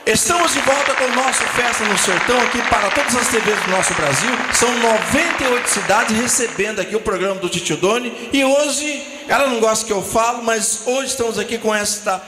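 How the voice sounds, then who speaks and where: A man's voice: extremely high pitch, brisk, echoey room, a loud crowd, heavy background noise. A middle-aged man from Minas Gerais with a Mineiro accent, hosting a country music TV show and gushing over his guest with stumbling restarts. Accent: Minas Gerais Portuguese. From Minas Gerais, Brazil. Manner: enthusiastic, fawning, stumbling restarts. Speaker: TV show host. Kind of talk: casual TV interview chat. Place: TV studio with ranch backdrop. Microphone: handheld mic.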